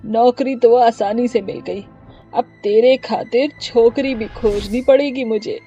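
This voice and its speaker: A woman's voice: fairly high pitch, steady tone, measured pace, warm tone, slightly rough, soft background music. A woman voicing a hardworking, affectionate mother-in-law who sells coconut water in a story, proud and motherly, on muffled audio. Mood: fond, teasing.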